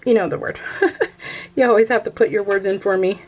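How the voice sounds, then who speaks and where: A woman's voice: medium pitch, a little fast, lo-fi sound. Casting: American female craft vlogger, mid-range voice, informal and giggly, rambling delivery with self-deprecating asides and unfinished sentences. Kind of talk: casual chat to viewers while showing a craft project.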